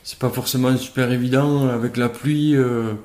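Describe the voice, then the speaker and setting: A man's voice: medium pitch, steady tone, moderate pace, darkish tone. Southern French man, echoey room. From southern France. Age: 40s. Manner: hesitant, many fillers and restarts. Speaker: interview guest, cyclist. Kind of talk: conversational interview.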